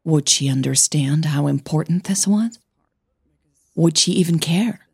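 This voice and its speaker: A woman with a soft, breathy voice, a podcast host who narrates her own audio fiction, over music. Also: low-pitched, unhurried, no echo, clean studio sound. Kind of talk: scripted story narration with casual host outro. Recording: music bed under the voice.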